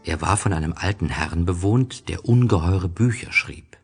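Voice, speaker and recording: A man's voice: low voice, moderate pace, slightly rough, faint music behind. A man narrating a children's story as a scripted audio drama.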